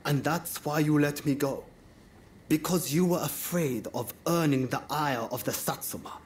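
An actor playing a haughty, taunting samurai challenger in a video game cutscene, deliberate, scornful and goading. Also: male voice, a little high, a little hiss or hum, close to an everyday voice. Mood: goading contempt.

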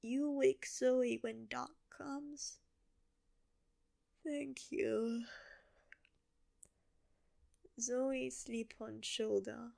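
Girl doing a drowsy zombie girl w/ a gravelly croaky voice, real slow, broken little words, kinda high. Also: steady tone, dark tone, exaggerated voice. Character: sleepy friendly female zombie girl, broken speech. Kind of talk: ASMR roleplay.

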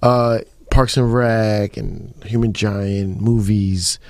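A man's voice: lowish voice, steady tone, slow, a little mellow, dry recording. A man in his thirties hosting a radio interview, teasing his guest with playful questions. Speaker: radio host. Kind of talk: casual radio interview chat. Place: radio studio. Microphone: studio mic on a boom arm.

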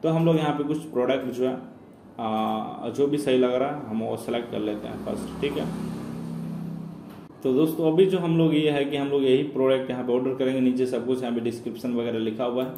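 A man's voice: medium pitch, fairly even intonation, brisk. A man presenting a screen-recorded tutorial, explaining each step and calling his viewers friends. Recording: echoey room with faint noise.

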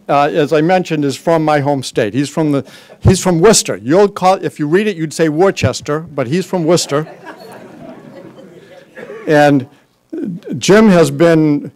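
A man's voice: on the high side, darkish tone. Joking older man with a Boston accent. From Boston area, US. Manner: joking, loose, with fillers and restarts. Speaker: board member introducing speakers. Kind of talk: informal podium speech. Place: large conference hall, indoors. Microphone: podium microphone.

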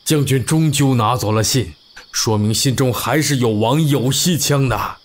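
An actor playing a shrewd royal minister in a historical audio drama, formal, deferential and persuasive. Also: male voice, mid-range voice, measured pace, slight background noise, lightly acted. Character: shrewd, measured male royal chief minister and strategist.

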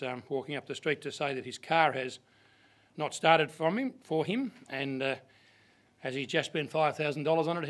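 A man's voice: medium pitch, a bit bright. Plain-spoken older Australian man introducing. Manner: practical, plain-spoken, with fillers. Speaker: clerk introducing speakers. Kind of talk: seminar introduction. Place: conference room. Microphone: podium microphone.

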